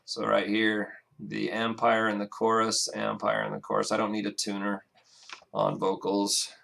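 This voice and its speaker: Gravelly low-voiced man explaining a mix. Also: completely flat delivery, a bit bright. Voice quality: raspy. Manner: halting, many fillers and restarts. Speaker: music producer. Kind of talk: casual screen-recorded mixing walkthrough.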